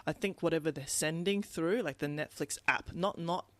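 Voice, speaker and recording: Woman, low-pitched voice, casual podcast co-host, rambling storyteller, dry close recording. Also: moderate pace, no echo. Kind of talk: casual chat.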